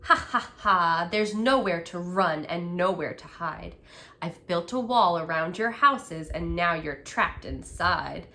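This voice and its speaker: A woman's voice: fairly low pitch, slow, bright, forceful tone, exaggerated voice. A woman voicing a cunning, boastful, hungry big bad wolf from a children's storybook, sneering and growling as it gloats. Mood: gloating.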